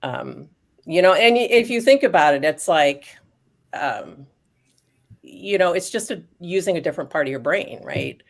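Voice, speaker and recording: A woman speaking as a guest expert on aging dogs, explaining research in a thoughtful, casual way with frequent pauses and restarts. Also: fairly low pitch, expressive. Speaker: guest expert on canine cognitive decline.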